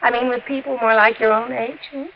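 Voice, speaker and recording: Woman acting coy, creaky-voiced American young widow. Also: on the high side, steady tone, brisk, lightly acted. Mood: coy, coaxing. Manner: coy, coaxing. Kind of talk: 1950s radio acting. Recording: dull radio recording, crowd behind.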